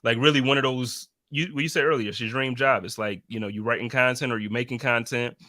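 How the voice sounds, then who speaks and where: A man's voice: medium pitch, steady tone, quick pace, bright, forceful tone, no room sound, clean studio sound. A man hosting a podcast, chatting in a friendly, slangy and encouraging way. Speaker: podcast host. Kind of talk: casual chat.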